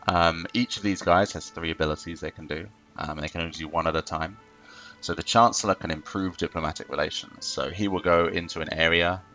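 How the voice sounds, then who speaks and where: A man's voice: a bass voice, gravelly, sharp tone. A man hosting a casual gameplay tutorial, explaining loosely with lots of fillers at a desk microphone. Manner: rambling, filler-heavy explaining. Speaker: let's play host.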